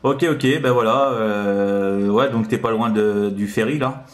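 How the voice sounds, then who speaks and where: A man chatting casually as a radio operator, in a halting, repetitive way full of verbal tics. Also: on the low side, reverberant. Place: indoors in an apartment by a window.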